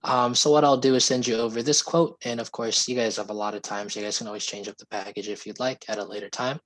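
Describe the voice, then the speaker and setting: A man with a hoarse voice walks clients through pricing and support on a video call. He talks in a polite, steady way, with some "um"s along the way. His pitch is ordinary for a man. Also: quick pace. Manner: polite, steady explaining with fillers. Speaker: sales representative giving a software demo.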